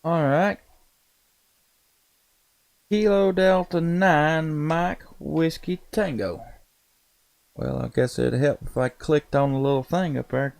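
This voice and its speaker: A man's voice: a little high, creaky, darkish tone. A man chatting casually and in a folksy, laid-back way as an amateur radio operator on a live stream. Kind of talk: casual ham radio chat.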